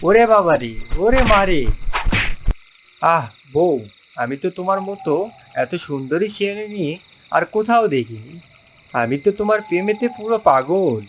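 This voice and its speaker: A man voicing an excitable, hapless young animal groom in a comic cartoon, exclaiming melodramatically. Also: sits high, normal speed, pauses often, a little hiss or hum. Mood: pleading. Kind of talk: exaggerated cartoon voice acting.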